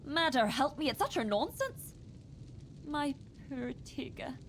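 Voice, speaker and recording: A woman voicing a grieving widow in a fantasy role-playing game, sharp-tongued yet sorrowful. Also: high-pitched, big pitch swings, unhurried, background noise. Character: grieving, bitter widowed tribeswoman. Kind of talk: video game voice acting.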